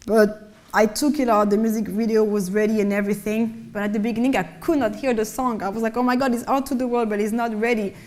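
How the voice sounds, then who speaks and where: A woman artist with a low voice, talking casually on a panel about her work, often restarting words. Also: steady tone, fast talker, darkish tone, slight background noise. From Mali and France. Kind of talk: casual conversational talk.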